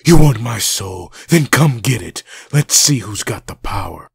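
A man playing an anguished, vengeful undead antihero in a comic dub, gravelly and husky, bitter and dramatic. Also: lowish voice, lively intonation, unhurried, no room sound, studio quality, heavily acted.

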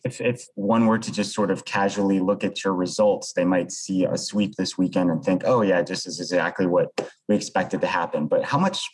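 A man's voice: low voice, normal speed, husky, low-quality recording. An American man working as a sports reporter, putting questions to players at a press conference.

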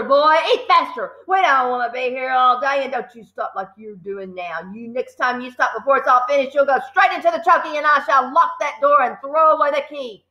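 A woman voicing a bullying, fierce headmistress who barks and shouts orders. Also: high-pitched, voice pushed up. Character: bullying tyrannical female headmistress, huge and fierce. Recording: echoey room.

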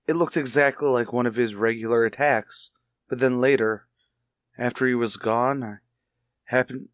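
A man's voice: medium pitch, no echo, studio quality, close to an everyday voice. Man acting an anxious, pleading radio-drama husband. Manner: halting, earnest, pleading. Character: anxious husband confiding a grim suspicion to his friend.

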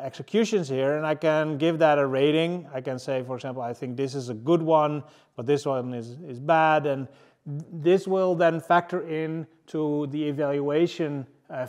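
Man presenting, halting with fillers. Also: a little high, a little mellow. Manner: halting, many fillers and restarts. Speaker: engineering manager presenting. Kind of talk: casual tech meetup talk. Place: large room with a seated audience, indoors.

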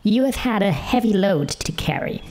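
A man voicing a shabby, spiteful old witch woman who grumbles sharply in a high, put-on voice. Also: lively intonation, moderate pace, a little mellow. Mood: commanding.

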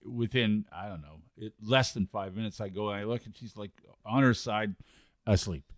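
A man in his sixties co-hosting a casual podcast over a video call, teasing in a wry, drawn-out way into a studio mic. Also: lowish voice, dry recording. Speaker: podcast co-host. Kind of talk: casual chat. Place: home room with brick wall. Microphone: studio mic on arm, headphones, video call.

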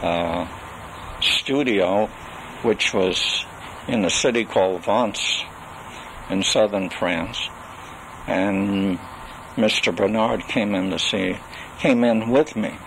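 An older man recounts his life in a very slow, halting delivery, leaving long pauses between phrases. His voice is gravelly and full of fry. It is a 1980s home cassette recording with faint noise behind him. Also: fairly low pitch, a bit bright, rough recording. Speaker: storyteller recalling his life and legal practice. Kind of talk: spoken memoir on tape.

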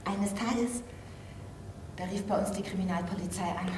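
A woman's voice: medium pitch, normal speed, lightly acted. An actress playing an eager, outspoken young Turkish woman guest worker in a theatre play. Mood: reflective storytelling. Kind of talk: theatrical stage acting. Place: theatre stage with audience. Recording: echoey theatre stage, clearly noisy.

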